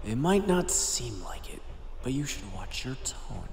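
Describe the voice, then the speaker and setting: A man acting a cold, calculating crime syndicate operative with a clipped, measured delivery in an audio drama. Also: mid-range voice, sing-song, darkish tone, reverberant, in a large hall, slight background noise. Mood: menacing.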